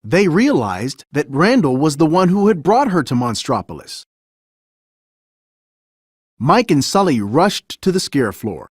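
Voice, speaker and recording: A man narrates a children's storybook in an expressive, dramatic way. His fairly high voice swings freely up and down, and the sound is close and dry. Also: a little slow, long pauses, slightly rough, no echo. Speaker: storybook reader. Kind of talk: children's story read-aloud, scripted narration.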